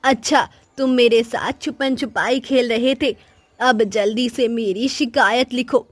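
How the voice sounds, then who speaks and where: A woman's voice: a little high, steady tone, measured pace, no echo. A woman voicing a cranky, scheming old village woman who whines and makes dramatic demands in a children's cartoon. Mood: indignant. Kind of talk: children's cartoon moral story acting.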